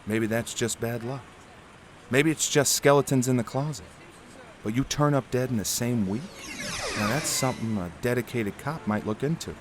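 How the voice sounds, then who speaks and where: An actor playing a serious, worried investigator with clipped hard-boiled delivery in a noir audio drama. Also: male voice, mid-range voice, normal speed, music in the background, lightly acted. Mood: grave, probing. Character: earnest, worried male investigator in noir moon city.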